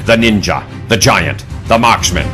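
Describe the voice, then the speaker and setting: A man's voice: lowish voice, lively intonation, unhurried, sharp tone, faint music behind. A man narrating a dramatic scripted introduction to an adventure series.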